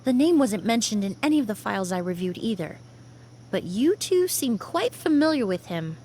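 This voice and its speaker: An actress playing a polite, measured young female perfumer in a video game. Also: mid-range voice, big pitch swings, darkish tone, slight background noise, lightly acted. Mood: polite, serious.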